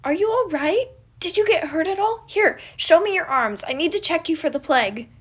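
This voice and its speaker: A woman's voice: extremely high pitch, expressive, normal speed, pitched above the performer's own voice. A woman voicing a sweet, fretful teenage messenger who talks in a caring, parental way in a storybook reading. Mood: worried. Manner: gentle, parental, eager to reassure.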